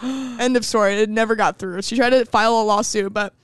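Young woman, podcast co-host, chatty storyteller, clear smooth voice, dry studio sound. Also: fairly high pitch, fairly even intonation, fairly quick, no room sound. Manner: chatty, laughs a lot, many "like" fillers. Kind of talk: casual storytelling chat. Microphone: studio microphone.

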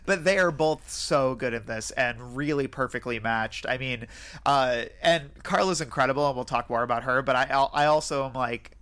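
A man's voice: mid-range voice, moderate pace, a bit bright. A man co-hosting a podcast in casual film discussion, hesitant and often circling back to rephrase. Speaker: podcast co-host.

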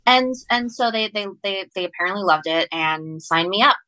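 A woman's voice: mid-range voice, expressive, sharp tone, dry recording, low-quality recording. A woman from Ecuador, a non-native English speaker, chatting as a guest author on a podcast, often doubling back and repeating phrases. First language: Spanish. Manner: halting, self-correcting, repeats phrases. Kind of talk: casual podcast interview, storytelling.

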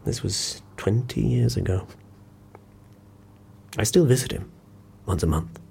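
An actor playing a sombre man recounting an anecdote in an audio drama, hushed and unhurried, with dramatic pauses. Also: fairly low pitch, creaky, slightly rough, heavily acted. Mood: grave. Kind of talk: audio drama acting, confiding storytelling.

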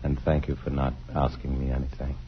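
Casting: middle-aged father torn in love, very low voice, halting and earnest, muffled vintage radio sound. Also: monotone, background noise. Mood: hesitant, earnest. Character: troubled, tender married father torn between two loves. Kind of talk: 1950s radio drama acting. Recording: dull vintage radio broadcast, faint music behind.

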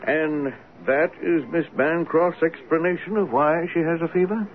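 An actor playing a skeptical, rational doctor in a radio drama, with a dry, dismissive, formally polite manner. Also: male voice, fairly high pitch, fairly even intonation, normal speed, natural voice. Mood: dryly dismissive. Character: skeptical rational country doctor, male, mildly mocking. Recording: tinny, noisy old radio broadcast.

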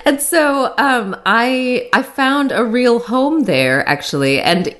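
A woman's voice: fairly high pitch, animated, slow pace, a bit bright. A woman in her thirties with an American accent, telling her life story in casual, chatty conversation and laughing often at herself. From born in Sri Lanka, raised in the Philippines and Arkansas. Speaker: guest co-host.

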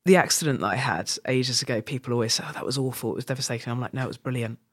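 A man's voice: medium pitch, rapid-fire, a bit bright, dry recording, clean studio sound. A man hosting a casual chat, reflective and rambling, with frequent restarts. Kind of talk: casual conversation.

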